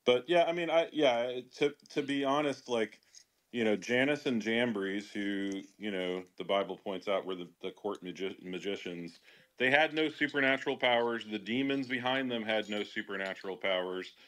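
A man's voice: fairly low pitch, normal speed, a bit bright. A man with a Chicago accent, talking bluntly and dismissively in a casual podcast discussion. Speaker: show regular.

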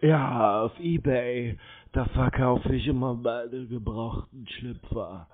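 A man in a deadpan, mock-naive and contrary manner, chatting as a prankster on a phone call. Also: mid-range voice, takes it slowly. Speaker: prank host answering scam calls. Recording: tinny phone-quality audio.

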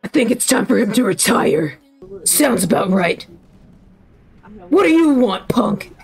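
A hot-tempered young anime hero, put on by a woman, scornful and commanding, with gravel in the voice and big swings in pitch. The delivery barks and sneers like a joke fan dub made over a game. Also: very animated, measured pace, a little mellow, faint music behind, full character voice, low-quality recording. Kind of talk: improvised joke fan dub while gaming.

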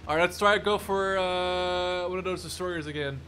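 Young man, higher-pitched bright voice, excitable sweary gameplay commentary, clear background noise. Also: normal speed. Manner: excitable, swears freely, talks to himself. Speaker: gaming YouTuber. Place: small room at home.

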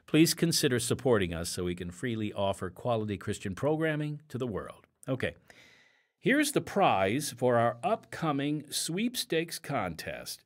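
Guy hosting a podcast, lowish voice, really animated, announcing stuff w/ little offhand bits. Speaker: podcast host. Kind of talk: scripted announcing with casual asides.

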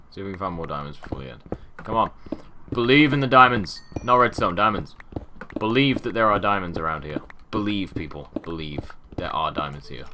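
Deep-voiced young man with a sore throat. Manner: rambling, chatty, drops to mumbles. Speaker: gaming channel host. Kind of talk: casual gameplay commentary. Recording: audible background noise and keyboard clicks.

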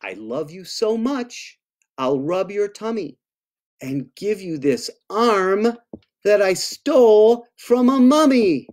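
A man voicing an affectionate monster sweetheart in a picture book, in a slow, sing-song rhyming delivery. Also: sits high, animated, darkish tone, voice pushed up. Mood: doting.

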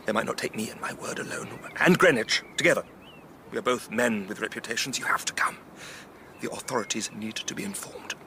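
Anxious, imaginative Victorian gentleman for radio drama; rhetorical, creaky voice over clear background noise. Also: mid-range voice, animated, brisk. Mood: alarmed, pleading. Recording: radio play with noisy effects behind.

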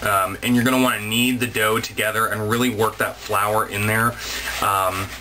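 A man's voice: on the low side, steady tone, moderate pace, bright, forceful tone, slight background noise, low-quality recording. Chatty man cooking in his kitchen. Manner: chatty, self-mocking, playful asides. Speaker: home cooking vlogger. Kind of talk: casual cooking demonstration. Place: small home kitchen, indoors. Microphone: handheld camera mic, close. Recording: home camera with kitchen clatter.

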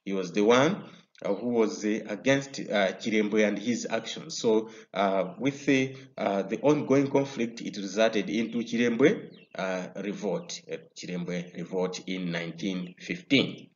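A man with a Malawian English accent teaching a recorded history lesson over slides. He explains step by step and often pauses on "uh". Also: lowish voice. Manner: explanatory, many "uh" fillers and restarts. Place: indoor room. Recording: slightly dull lo-fi sound.

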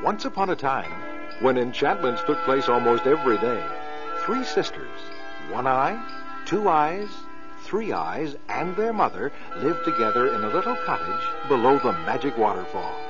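A man's voice: mid-range voice, sing-song, moderate pace, over loud music. A man hosting and narrating a children's radio story in a genial storytelling manner, on a dull lo-fi recording. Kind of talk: old-time radio storytelling.

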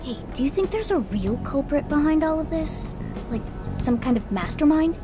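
A woman's voice: high-pitched, lively intonation, moderate pace, music in the background. A woman voicing a small, earnest talking cat sidekick in a video game. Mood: earnest, pondering.

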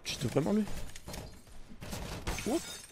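A young man streaming a shooter game on a headset mic, chatting excitably with his viewers and swearing often. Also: very slow, background noise. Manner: excitable, swears a lot, talks to chat. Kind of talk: live gaming stream commentary. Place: indoor room with a gaming chair.

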